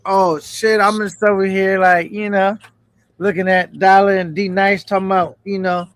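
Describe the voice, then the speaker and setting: High-voiced hype man hosting a stream. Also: level tone, normal speed, fairly bright tone, no echo. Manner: hypes up, reads chat aloud. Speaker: stream host. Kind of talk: casual live stream hosting.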